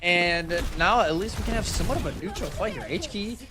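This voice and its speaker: A man's voice: high voice, lively intonation, brisk. A man giving live commentary on a video game match as an esports caster, speaking colloquially and emphatically.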